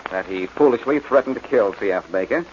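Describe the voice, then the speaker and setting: An actor playing a self-assured, teasing amateur detective with a wisecracking manner, in 1940s radio style. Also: male voice, fairly low pitch, animated, brisk, natural voice. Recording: dull, noisy 1940s radio recording.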